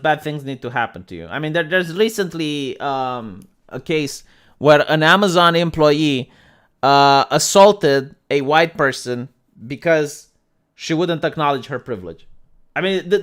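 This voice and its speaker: Animated sarcastic streamer man. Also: on the high side, normal speed. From Moldova. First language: Romanian. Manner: animated and sarcastic, repeats words when starting. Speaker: live streamer. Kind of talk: casual reaction commentary.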